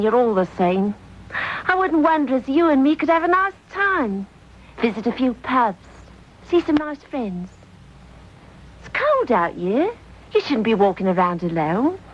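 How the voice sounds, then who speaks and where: An actress playing a coarse, pushy London streetwalker in a radio drama. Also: female voice, fairly high pitch, big pitch swings, measured pace. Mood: wheedling. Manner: wheedling, ungrammatical street talk. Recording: dull lo-fi old radio recording.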